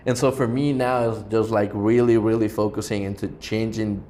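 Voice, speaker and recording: Rambling low-voiced man hosting a podcast. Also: steady tone, normal speed, warm tone, slight background noise. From Ecuador. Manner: rambling, heavy use of "like" fillers. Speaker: podcast host. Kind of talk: casual interview chat.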